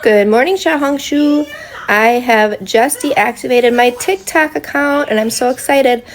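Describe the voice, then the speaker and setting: A woman making excited, polite requests to her followers in a chirpy tone. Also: a little high, unhurried, a little hiss or hum.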